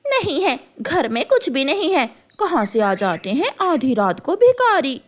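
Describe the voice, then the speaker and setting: A woman's voice: high-pitched, big pitch swings, measured pace. A woman voicing a rude, stingy female crow in a cartoon, scolding and dismissive. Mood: scornful, threatening.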